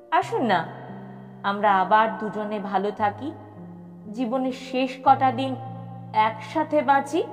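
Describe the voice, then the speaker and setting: An elderly man , acted by a woman storyteller, speaks with earnest, pleading tenderness. The pace is slow, and long reverb with music surrounds this audio story voice. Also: fairly high pitch. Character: elderly lonely widower, earnest, tender, fed up with society.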